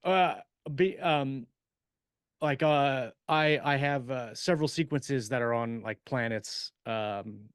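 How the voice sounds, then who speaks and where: A man talks as a podcast co-host in a very dry, close recording. His delivery is casual and halting, rambling through explanations with plenty of examples, at an average pitch for a man. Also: smooth, no room sound. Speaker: podcast co-host and science fiction writer. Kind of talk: casual chat about writing.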